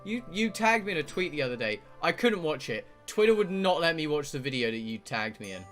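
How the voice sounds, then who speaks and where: A male streamer with a bright, forward voice pitched a little high. He rambles casually, swearing now and then, and reads game text aloud between bits of chat. Soft music plays behind him. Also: big pitch swings, brisk. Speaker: streamer playing a visual novel.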